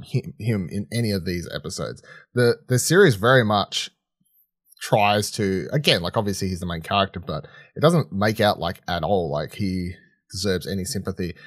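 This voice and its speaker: Man, low voice, dry close sound, podcast co-host, unscripted, rambling and halting, sweary. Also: no echo. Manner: rambling, halting, self-correcting mid-sentence; casual swearing. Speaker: podcast co-host reviewing film and TV. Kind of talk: casual unscripted chat.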